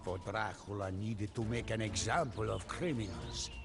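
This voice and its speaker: A man giving a solemn, theatrical scripted narration of a story in a measured way. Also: fairly low pitch, gravelly. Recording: music behind the voice. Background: prominent music.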